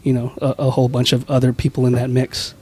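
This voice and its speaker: A man from Washington State talks as a guest on a podcast panel. He is measured and hesitant, and often starts his sentences over. His pitch stays flat and even. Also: slightly rough. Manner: measured, hesitant, many restarts and "you know". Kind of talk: casual panel chat.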